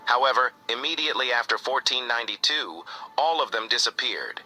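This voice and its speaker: Bright, declaiming man speaker. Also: mid-range voice, brisk, slight background noise, rough recording.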